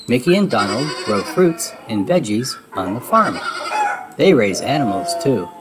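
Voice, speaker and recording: Animated, unhurried male storybook reader. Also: medium pitch, noisy background. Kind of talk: expressive children's read-aloud narration.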